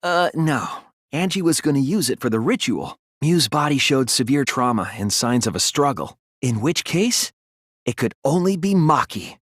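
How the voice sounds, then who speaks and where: A young male detective in a dramatic English game dub. His medium-pitched voice moves expressively as he reasons with earnest, quiet resolve. The delivery is articulate and deliberate, recorded completely dry. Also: lively intonation, no room sound. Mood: earnest, analytical. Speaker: video game voice actor.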